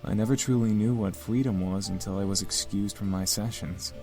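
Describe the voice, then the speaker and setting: Gentle young demon prince acted by a man, very low voice, burdened by guilt, visual novel game, music behind. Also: fairly even intonation, fairly quick, close to an everyday voice, rough recording. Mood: somber.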